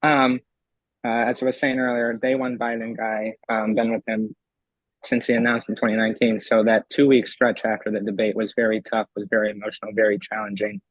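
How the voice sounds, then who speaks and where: Young delegate guy, raspy voice from yelling, flat delivery, muffled dry audio. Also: fairly low pitch, a little fast, no echo. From Eastern Pennsylvania. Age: young adult. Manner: eager, many ums and restarts. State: raspy from screaming. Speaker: convention delegate and law student. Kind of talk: remote interview.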